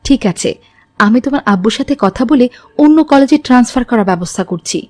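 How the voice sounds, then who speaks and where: A woman's voice: on the high side, lively intonation, fast talker, darkish tone, close to an everyday voice. A woman voicing a concerned, reproving mother in a moral cartoon story.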